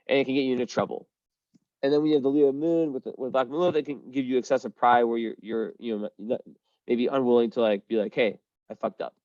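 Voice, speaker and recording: A man's voice: medium pitch, no room sound, lo-fi sound. Rambling young man reading a chart. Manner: rambling, frequent fillers and restarts, casual swearing. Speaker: astrology reader. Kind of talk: casual one-on-one chart reading.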